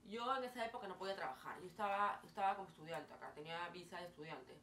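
A woman in her twenties from Peru with a Peruvian Spanish accent, casually telling her story to camera with lively hand gestures and many fillers. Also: on the low side, fast talker. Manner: chatty, animated hand gestures, frequent fillers. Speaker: vlogger. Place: small bedroom, indoors. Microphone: camera mic at a distance. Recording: roomy, distant, faint hiss.

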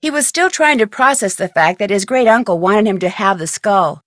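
A young woman detective played by an actress narrates a mystery game with composure and dry wit. Her delivery is measured and storylike, recorded close and dry. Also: mid-range voice, expressive, no room sound, close to an everyday voice. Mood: composed, wry. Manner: clear measured storytelling, dryly wry.

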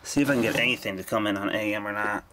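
A man's voice: on the low side, fairly even intonation, very fast. A man giving an informal, offhand hands-on product demo, speaking from behind a handheld camera. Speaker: video creator demonstrating a vintage radio. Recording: background noise, handling clicks.

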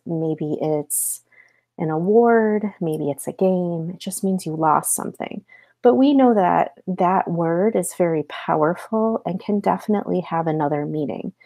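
Casting: American woman, thirties, schoolteacher reading aloud and explaining, lowish pitch, noticeable vocal fry. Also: a little mellow. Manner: explanatory, with rhetorical check-in questions. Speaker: elementary school teacher. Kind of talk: read-aloud lesson with explanations to pupils. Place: small home office, indoors.

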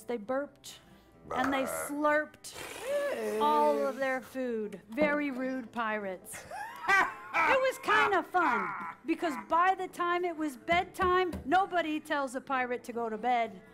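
A high-voiced woman tells a story to young children. She is dramatic and animated, inviting them to repeat lines as she goes. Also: takes it slowly, loud music behind the voice. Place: children's library story room with an audience, indoors.

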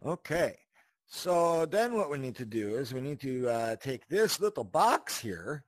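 A man hosting a casual instructional walkthrough in a chatty, animated way, often checking in with the listener. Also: mid-range voice, unhurried, no echo. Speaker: tutorial host.

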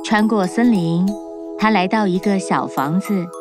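A woman narrating a cartoon fairy tale in an even, clear storytelling voice. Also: mid-range voice, unhurried. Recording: narration over music.

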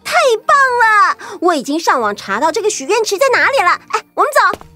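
An eager little sidekick in a children's story. The voice is extremely high and animated, exclamatory and childlike, recorded dry with light music. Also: female voice, a little fast, no echo, heavily acted. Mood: excited, confident. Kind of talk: children's audio story voice acting.

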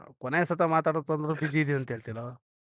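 A man's voice: a little high, fast, no echo. A man with a Karnataka Kannada accent making a prank phone call in casual, colloquial speech, pestering and insistent. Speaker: prank caller, channel host. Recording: clear background noise and clicks.

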